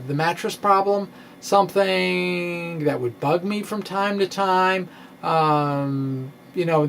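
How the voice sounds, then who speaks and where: Halting, quirky man explaining science, noisy background. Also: high voice. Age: middle-aged. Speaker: physics video blogger. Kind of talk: dramatic reading of a science blog, loosely scripted explanation. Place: small indoor room with bookshelves. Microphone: microphone on a stand in front of him. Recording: steady clear background noise.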